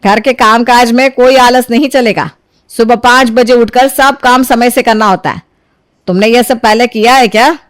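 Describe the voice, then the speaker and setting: A woman's voice: a little high, steady tone, normal speed, natural voice. A woman voicing a strict, exacting middle-aged mother-in-law who questions sharply in a cartoon story. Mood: stern. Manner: interrogating, strict. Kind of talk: Hindi cartoon moral story dialogue.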